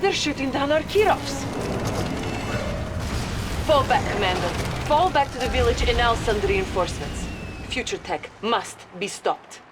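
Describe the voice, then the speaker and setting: A woman's voice: a little high, expressive, unhurried, loud music behind the voice. A woman playing a fierce, proud Soviet officer in a game cutscene, giving stern orders. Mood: urgent. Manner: stern, commanding, patriotic. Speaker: Soviet commander.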